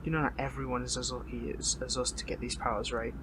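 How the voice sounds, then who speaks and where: A man's voice: medium pitch, level tone, fast talker, lightly acted. Defiant captured hero, acted by a man, noisy recording. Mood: pained, pleading. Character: defiant captured male superhero, wounded, bitter. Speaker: audio roleplay voice actor. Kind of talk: dramatic audio roleplay monologue.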